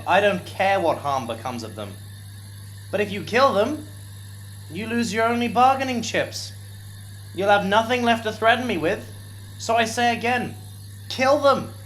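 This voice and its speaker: A shrewd, authoritative otherworldly investigator in an audio drama. The delivery is articulate and measured, with lively, wide-moving pitch over clear music. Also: male voice, rough recording. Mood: coldly bargaining. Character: commanding, shrewd otherworldly investigator, calm under pressure.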